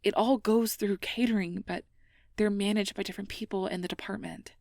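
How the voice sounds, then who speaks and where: A woman playing a sweet, anxious young mage girlfriend in an ASMR role-play, speaking in a breathy, often whispered voice with earnest, hesitant, careful phrasing. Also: medium pitch, fairly even intonation, a little fast, a little mellow, no room sound, clean studio sound, close to an everyday voice. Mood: exasperated, flustered. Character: sweet, anxious, conscientious young air mage girlfriend confiding her worries. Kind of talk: ASMR role-play, intimate personal address.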